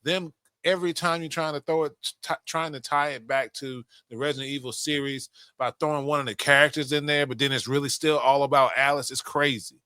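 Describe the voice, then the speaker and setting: A man's voice: mid-range voice, fairly even intonation, brisk, sharp tone, clean tone, dry recording. A young adult man co-hosting a podcast, giving opinions in casual talk and swearing freely. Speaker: podcast co-host. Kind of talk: casual film discussion.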